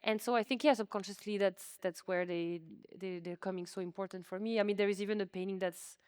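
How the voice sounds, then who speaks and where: A woman's voice: medium pitch, fairly even intonation, brisk, a little mellow, smooth, no echo, clean studio sound. A woman whose native language is French, speaking informally and self-deprecatingly in a conversational artist's talk. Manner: informal, self-deprecating, hedging with many likes. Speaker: artist, interviewee. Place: museum exhibition space.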